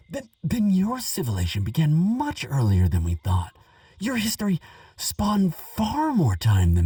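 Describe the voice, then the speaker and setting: Husky, earnest archaeologist, acted by a man, lilting and unhurried. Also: mid-range voice, no room sound, studio quality, far from the mic, full character voice. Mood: astonished. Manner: stammering, musing, wonder-filled, gentle. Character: earnest, excitable archaeologist, kind to a monster friend. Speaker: audio roleplay performer. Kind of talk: relaxing scripted audio roleplay, spoken to the listener.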